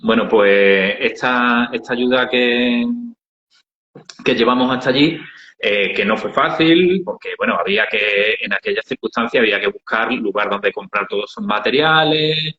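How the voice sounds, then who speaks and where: A middle-aged man with an Andalusian Spanish accent, telling travel anecdotes in an unhurried interview style, with frequent hesitations. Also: medium pitch, pushed and bright. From Andalusia, Spain. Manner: unhurried storytelling with many hesitations. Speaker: interviewee, founder of an aid project. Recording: dull, roomy recording.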